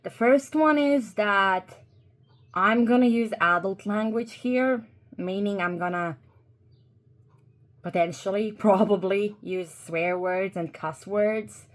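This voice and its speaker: Slow-talking Croatian woman, halting and earnest. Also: medium pitch. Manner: halting, many pauses and restarts, earnest. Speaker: YouTuber. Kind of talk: commentary vlog. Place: at a desk with two monitors.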